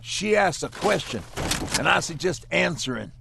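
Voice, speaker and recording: High, gravelly, forceful resistance fighter. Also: male voice, sing-song, normal speed, background noise, exaggerated voice. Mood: alarmed, suspicious. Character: wary, assertive male resistance fighter. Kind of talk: dramatic game cutscene acting.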